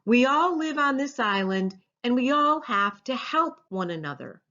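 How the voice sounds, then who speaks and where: A small, sweet, timid turtle as a woman reads him in a storybook. He sounds innocent and unhurried, and the recording is a little dull. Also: a little high, big pitch swings, pushed and bright, voice pushed up, full character voice. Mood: sweet, sincere. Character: tiny timid sweet male child turtle.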